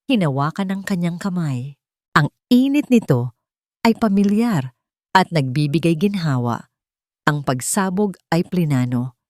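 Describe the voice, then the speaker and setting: Low-pitched woman narrating a story. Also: animated, a little slow, long pauses, a little mellow, no room sound, studio quality. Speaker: storyteller, audio drama narrator. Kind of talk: scripted dramatic story narration.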